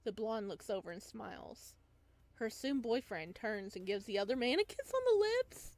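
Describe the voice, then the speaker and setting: A woman's voice: on the high side, big pitch swings, normal speed, dry recording. A woman reading a visual novel aloud as a let's play host, with casual, amused asides.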